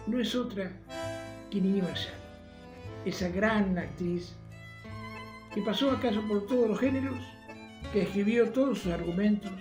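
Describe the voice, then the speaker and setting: A man with an Argentine accent hosting a conversational radio programme, speaking in an informal, affectionate way. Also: high voice. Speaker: radio host and interviewer. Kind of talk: conversational radio interview with closing book recommendation.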